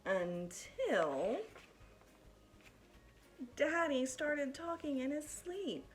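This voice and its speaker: Slow-paced woman reading to children. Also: sits high, big pitch swings, soft background music. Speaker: teacher reading a picture book aloud to pupils. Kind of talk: expressive children's read-aloud.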